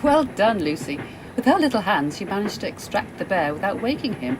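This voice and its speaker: Woman acting kindly, animated lady passenger. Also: brisk, lightly acted. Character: Carol, kindly, well-spoken, concerned lady passenger. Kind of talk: amateur radio play acting. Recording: noisy, train carriage rumble behind.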